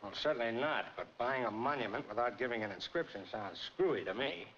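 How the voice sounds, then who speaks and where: An American actor playing a wry, hungover, slangy newspaper reporter in 1940s film style. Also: male voice, mid-range voice, expressive, brisk, a bit bright. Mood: inquisitive. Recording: noisy, dull 1940s film soundtrack.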